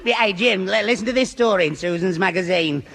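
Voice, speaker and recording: A man's voice: high voice, a bit bright. A man playing a gormless, easily flustered northern English teenage lad in a 1960s radio sitcom. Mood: mock-romantic. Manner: slow, dopey, stumbling when embarrassed. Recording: old radio broadcast with faint hiss.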